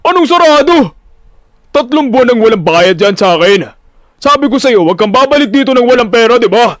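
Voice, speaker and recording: Man acting an angry, high-pitched money-hungry boss. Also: animated, a little fast, fairly bright tone, no room sound, clean studio sound. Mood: irate, scolding. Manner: scolding, demanding. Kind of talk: Filipino audio drama acting.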